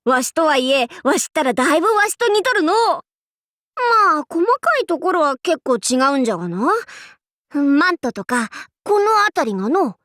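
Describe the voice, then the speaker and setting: A high, very animated young warlord girl for an anime game, recorded close and dry. She speaks in grand archaic lordly phrases, imperious and boastful, yet slips readily into playful clowning. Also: normal speed, dry recording. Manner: archaic lordly speech, haughty, abruptly switching to goofy. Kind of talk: Japanese anime game character voice lines.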